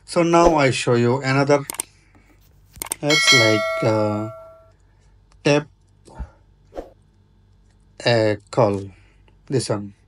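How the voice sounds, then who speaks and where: A male tutorial host talks slowly through step-by-step instructions at a medium pitch. His non-native English comes in short, choppy phrases, with a halting, informal delivery. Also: background noise.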